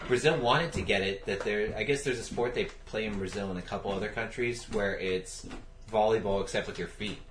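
A man's voice: fairly low pitch, brisk, roomy sound, slight background noise. A man co-hosting a casual family podcast, joking and blunt. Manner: joking, blunt, puts on voices for gags. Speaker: podcast co-host.

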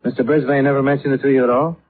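An actor playing a patient, world-weary insurance investigator in a 1950s radio drama, measured and matter-of-fact. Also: male voice, medium pitch, flat delivery, close to an everyday voice. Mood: probing, patient. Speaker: lead investigator and narrator. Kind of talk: 1950s radio detective acting. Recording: muffled old radio recording with music.

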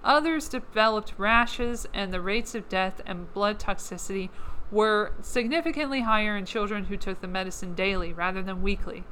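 A woman's voice: mid-range voice, normal speed, a bit bright, slight background noise, rough recording. A woman hosting a podcast, reading news articles aloud and adding sarcastic asides, her voice worn down and fading. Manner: sarcastic asides between read passages. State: losing her voice. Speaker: podcast host.

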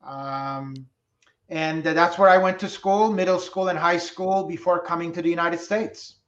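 A middle-aged man from Turkey, a public policy professor, giving reflective interview answers with many filler pauses. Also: a little high, steady tone. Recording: roomy, dull lo-fi sound.

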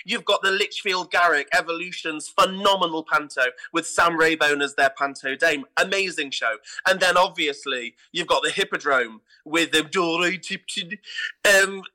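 A man's voice: a little high, normal speed, pushed and bright, rough recording. A British man speaking enthusiastically and conversationally as a guest in an interview about his work. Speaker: guest, artistic director of a pantomime theatre company.

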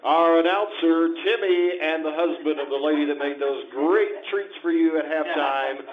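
Male live-show host, rather high voice, announcing to a theatre audience on a dull, noisy recording. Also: moderate pace. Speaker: host introducing the cast.

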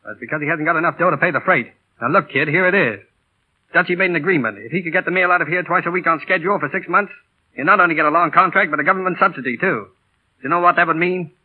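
A brusque airline chief from forties radio drama, heard through thin, band-limited vintage sound. He rattles out slangy, commanding lines in a somewhat high voice and a clipped, no-nonsense manner. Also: quick pace, husky, phone-quality sound. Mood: firm, insistent. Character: tough, brusque boss of a small mail airline. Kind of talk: 1940s radio acting.

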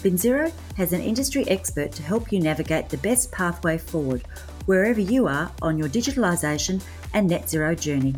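Woman podcast host, lowish voice, measured ad reads, faint music behind. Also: animated, a little mellow. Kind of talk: scripted ad read and interview questions.

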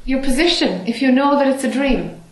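A woman's voice: sits high, lively intonation, fairly quick. Direct, probing woman in an echoey hall. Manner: direct, probing, challenging questions. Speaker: spiritual teacher. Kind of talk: question-and-answer dialogue. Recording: echoey hall with faint noise.

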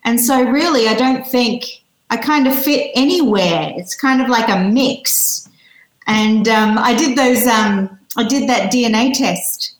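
A woman in her forties with an Australian accent, chatting as an interview guest and pausing often with fillers and restarts. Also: a little high, reverberant, poor audio. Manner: warm, hesitant, many fillers and restarts. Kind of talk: casual interview chat.

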